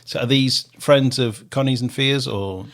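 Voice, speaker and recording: A man's voice: medium pitch, measured pace. A man with a Manx accent hosting a radio interview, asking short questions and reading out a cast list. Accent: Manx English. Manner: brief encouraging interjections, reads out cast list. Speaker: radio podcast host.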